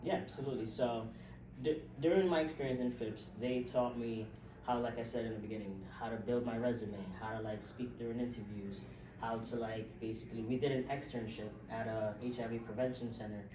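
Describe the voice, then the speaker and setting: A young man from the South Bronx whose first language is Spanish, chatting as an interview guest in a relaxed way, with lots of "like" fillers. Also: medium pitch, fairly quick, recorded from a distance. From South Bronx, New York. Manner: relaxed, chatty, many "like" fillers, laughs often. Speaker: interview guest, healthcare worker. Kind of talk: casual interview answers. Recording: echoey room, voice a bit off-mic, noisy.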